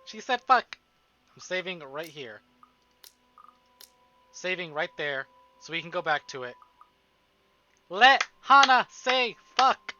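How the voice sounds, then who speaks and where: A man streaming a game, giving excitable, gushing live commentary on it. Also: sits high, takes it slowly, pauses often, slight background noise. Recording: slightly dull stream audio, music behind. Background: game music.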